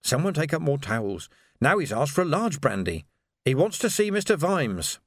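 A man's voice: fairly high pitch, very animated, normal speed, no echo, clean studio sound. A man voicing a fussy, grumbling household servant who chatters and gossips. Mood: gossipy.

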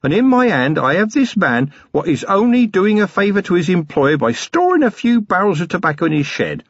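A man voicing an eager young male constable who talks bluntly in rough working-class speech, raised high. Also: very animated, brisk, no room sound, lo-fi sound, heavily acted. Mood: zealous.

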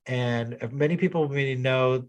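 A man's voice: medium pitch, a little slow, dull audio. A young adult man co-hosting a casual podcast, chatty and enthusiastic, often laughing and restarting his sentences. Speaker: podcast co-host. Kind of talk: casual chat.

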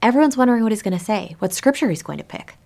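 A woman's voice: mid-range voice, expressive, rapid-fire, creaky, a little mellow. Woman preaching a conversational sermon. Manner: rhetorical questions, addresses listeners directly. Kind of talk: sermon, prepared but conversational delivery.

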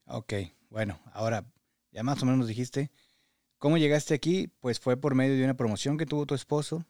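A man's voice: mid-range voice, brisk, a little mellow, dry recording, studio quality. Mexican man hosting a conversational podcast. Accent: Mexican Spanish. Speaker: podcast host interviewing a guest.

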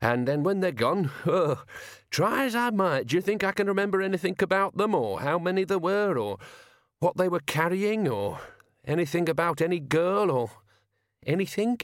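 A man voicing a nervous, wheezy cart driver in an audiobook, babbling in rough colloquial grammar and eager to please. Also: a little high, animated, measured pace, no room sound, clean studio sound, voice pushed up. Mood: panicked babbling. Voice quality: wheezy when panicking. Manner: rambling, uneducated colloquial grammar. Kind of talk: audiobook character voice.

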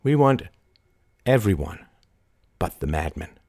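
A man's voice: low-pitched, very animated, darkish tone, no room sound, studio quality, full character voice. A man voicing an intense, volatile woman, commanding yet fragile, in a dramatic audiobook reading. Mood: cool, commanding. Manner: dramatic, deliberate, mercurial.

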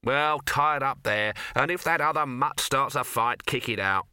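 A man voicing a gruff, sceptical henchman with a blunt, unimpressed manner. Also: medium pitch, normal speed, sharp tone, dry recording, studio quality, pitched above the performer's own voice.

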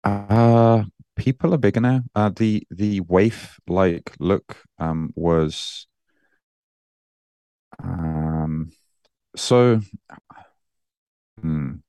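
Brummie man, extremely deep voice, dry close sound, thoughtful guest. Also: very slow, long pauses, warm tone, smooth, no room sound. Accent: Birmingham English. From England. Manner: thoughtful, many fillers and restarts. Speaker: guest, nutrition and training coach. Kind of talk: casual podcast interview.

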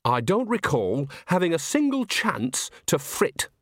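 A man's voice: fairly high pitch, wildly swooping pitch, unhurried, no room sound, clean studio sound, pitched above the performer's own voice, heavily acted. A man voicing a chatty, homely, cheeky old woman witch in an audiobook, folksy and rambling. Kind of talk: audiobook character acting.

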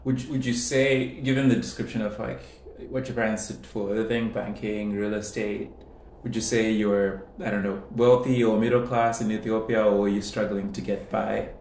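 A man's voice: fairly low pitch, fairly even intonation, moderate pace, darkish tone, slight background noise. Podcast host man in an echoey room. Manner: repeats words when starting questions. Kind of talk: interviewing and scripted intro.

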